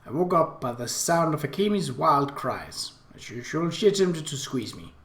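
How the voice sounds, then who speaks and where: A man's voice: fairly high pitch, normal speed. A man hosting a let's play, expressively reading a visual novel aloud with his own asides. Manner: expressive, animated. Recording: roomy echo.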